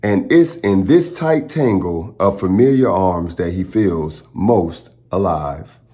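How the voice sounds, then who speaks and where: A man hosts a children's storytime in a fairly low voice. His pitch moves very freely as he reads. He phrases dramatically, with pauses for his young listeners. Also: animated, warm tone. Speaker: children's storytime reader and channel host. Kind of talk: expressive picture-book read-aloud.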